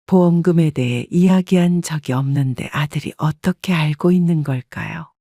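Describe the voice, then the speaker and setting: A woman narrating a first-person life story in a calm, measured, reflective way. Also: low voice, no echo, clean studio sound.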